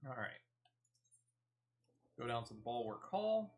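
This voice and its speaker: A man's voice: medium pitch, very animated, slow, darkish tone. A man giving casual, chatty gameplay commentary, thinking aloud with a playful, mildly self-deprecating touch. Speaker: gaming streamer.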